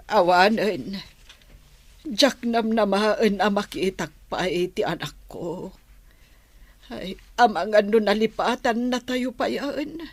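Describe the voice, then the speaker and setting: A woman's voice: mid-range voice, dry recording. A woman playing a sorrowful, sighing elderly mother in an Ilocano audio drama. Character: sorrowful elderly mother longing for her missing daughter.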